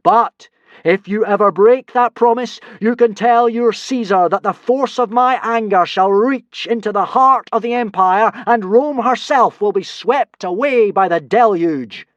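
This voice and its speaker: A man reading aloud, voicing a boy who poses as a thunder god and speaks in a booming, solemn, grand way. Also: measured pace, dry recording, dull audio.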